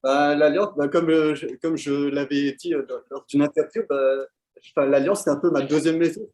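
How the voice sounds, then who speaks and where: A man's voice: on the high side, steady tone, normal speed, darkish tone. Hesitant man answering, many fillers. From Belgium. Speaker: quiz winner. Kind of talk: casual interview answers.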